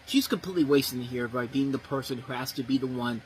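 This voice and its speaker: A man's voice: medium pitch, fast talker, a little hiss or hum, rough recording. A man giving a blunt, profane, exasperated movie review, ranting about what went wrong. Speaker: film reviewer.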